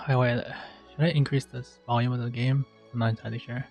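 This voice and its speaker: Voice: medium pitch, fairly even intonation, a little fast, gravelly. A video game streamer giving casual live commentary in a hesitant, joking, self-deprecating way. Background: game music.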